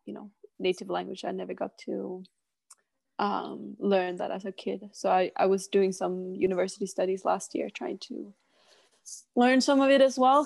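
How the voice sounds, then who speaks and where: A woman's voice: medium pitch, vocal fry, a little mellow. A young woman whose first language is Swedish, a chef chatting as an interview guest in a hesitant way with many fillers. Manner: hesitant, frequent "you know" fillers. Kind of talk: casual interview chat.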